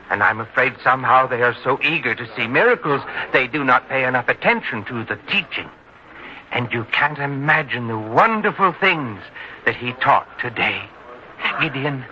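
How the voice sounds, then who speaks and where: An actor playing an earnest, devout neighbour in a biblical radio drama, telling things solemnly. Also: male voice, mid-range voice, sharp tone. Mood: earnest, troubled, then solemn, reverent. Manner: solemn, earnest storytelling delivery. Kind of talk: old-time radio drama acting. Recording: lo-fi old-time radio sound, faint noise.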